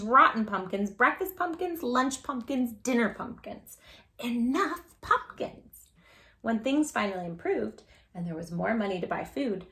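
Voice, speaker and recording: A woman hosting a children's storytime, speaking in an animated, gushing way straight to young listeners as she chats and reads aloud. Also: on the high side, gravelly. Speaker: children's storytime host and creator of the channel. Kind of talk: children's read-aloud and direct-to-viewer chat.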